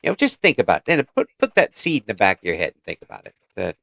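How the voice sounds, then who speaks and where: A man's voice: lowish voice, huge pitch swings, very fast, creaky, fairly bright tone, no echo. A man hosting a casual interview podcast, chatting in an encouraging way.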